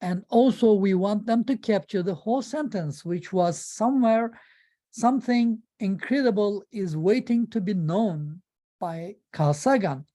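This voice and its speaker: Mellow-voiced woman. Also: mid-range voice. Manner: halting non-native English with frequent pauses. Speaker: amateur radio presenter. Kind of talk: informal slide presentation.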